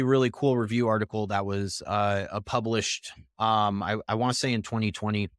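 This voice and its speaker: A man around thirty speaking as a podcast guest, explaining research in a measured, conversational way with pauses between phrases. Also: fairly low pitch, no echo, clean studio sound. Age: 30s.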